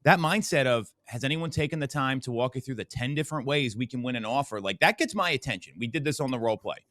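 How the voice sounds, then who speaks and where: A man's voice: mid-range voice, expressive, fast talker, a bit bright, no room sound, clean studio sound. A man co-hosting a business podcast, speaking emphatically and repeating words as he drives his point home. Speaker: podcast co-host. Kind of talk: casual business podcast discussion.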